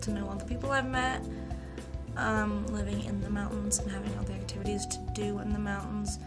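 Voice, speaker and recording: A woman's voice: mid-range voice, flat delivery, a little fast, loud music behind the voice. A young woman giving chatty, anecdotal interview answers in a casual way. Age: young adult. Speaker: employee being interviewed.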